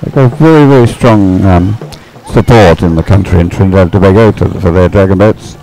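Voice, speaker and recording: A man co-commentates live sport in a chatty way. His voice is very low and mellow with a lot of creak, and faint music sits behind it. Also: fairly quick, poor audio. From Great Britain.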